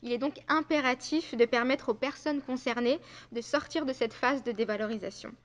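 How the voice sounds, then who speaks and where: Earnest woman, high voice, muffled recording. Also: normal speed. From France. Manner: earnest, with frequent hesitations. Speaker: invited speaker. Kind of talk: conference talk. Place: conference room.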